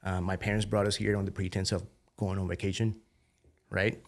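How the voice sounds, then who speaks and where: A man in his thirties with a Colombian accent and a fairly low voice talks as a podcast guest. His telling is loose and animated, full of "dude" and "you know". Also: a little fast, vocal fry. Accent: Colombian Spanish. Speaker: podcast guest, biotech founder. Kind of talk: casual conversational interview.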